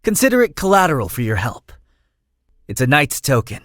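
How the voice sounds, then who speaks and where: A man's voice: mid-range voice, very animated, fairly quick, fairly bright tone, no room sound, clean studio sound. An actor playing a chatty, idealistic young nobleman in a video game, breezy and theatrical in manner. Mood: friendly, persuasive.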